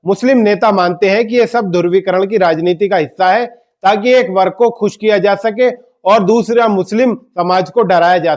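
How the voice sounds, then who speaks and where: A man's voice: high-pitched, a little mellow. A man hosting a news commentary, delivering scripted political remarks in an emphatic way and putting rhetorical questions to his viewers. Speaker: news commentator and channel host.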